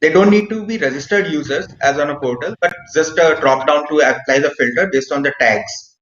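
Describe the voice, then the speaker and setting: A man's voice: fairly high pitch, a little fast, fairly bright tone. A man giving feedback in an online meeting, hesitant, with many fillers and frequent "right?". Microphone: video call. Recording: roomy, dull video call audio.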